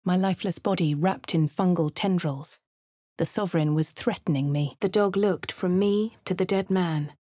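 Woman voicing a posh female game hero, posh English accent, husky, slightly low voice, dry close studio sound. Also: normal speed, warm tone, dry recording, lightly acted. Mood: measured. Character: posh female adventurer hero narrating her deeds. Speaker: player character voice. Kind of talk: game voice lines, first-person narration.

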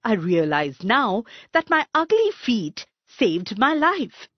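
A woman voicing a vain male stag in a children's storybook, expressive and absorbed in his own looks. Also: a little high, takes it slowly, no echo, muffled recording, full character voice. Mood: rueful. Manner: self-admiring, expressive.

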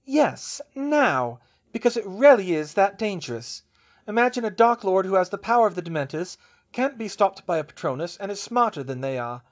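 A man's voice: high-pitched, big pitch swings, moderate pace, voice pushed up. A man voicing a young British witch professor in an audiobook, passionate, defensive and emphatic. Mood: urgent, insistent. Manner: emphatic, indignant protesting.

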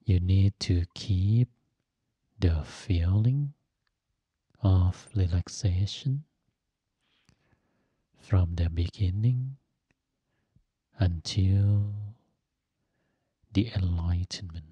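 Very slow, low-voiced man guiding meditation. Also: slightly rough, no room sound. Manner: gentle, instructive, phrase by phrase with long pauses. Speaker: Buddhist monk and meditation teacher. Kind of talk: guided meditation.

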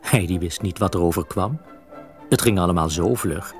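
A man narrating a children's story as a storyteller, expressive and with dramatic pauses. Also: deep voice. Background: soft music.

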